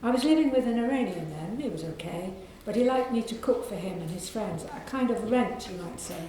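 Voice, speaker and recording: A woman with a soft, croaky voice, an author reading aloud from her novel to an audience in a library. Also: medium pitch, animated, heavy reverb, a little hiss or hum, rough recording. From England. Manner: measured reading with clearing of the throat.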